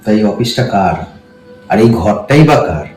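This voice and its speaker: Man acting a smooth, lecherous boss, low voice. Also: animated, normal speed, a little mellow, natural voice. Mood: insinuating, pushy. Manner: insinuating, boastful, cajoling. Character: lecherous, smooth-talking male company boss pressuring his employee. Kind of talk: short-film melodrama acting. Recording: roomy echo, faint background music.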